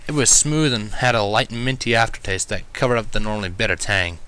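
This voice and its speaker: Casting: male storyteller, lively varied pitch, bright tone, expressive first-person narration. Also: fairly quick, smooth. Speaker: fan fiction reader.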